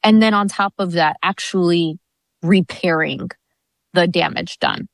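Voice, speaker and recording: A woman political candidate giving an earnest interview answer in a measured, deliberate way with drawn-out pauses. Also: fairly low pitch, creaky, no room sound, studio quality.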